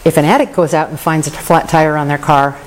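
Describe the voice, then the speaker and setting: A woman's voice: low voice, steady tone, a little fast, slight background noise, poor audio. A woman speaking candidly and earnestly about her recovery, with touches of self-deprecating humor. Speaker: recovery meeting member. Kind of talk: personal recovery share.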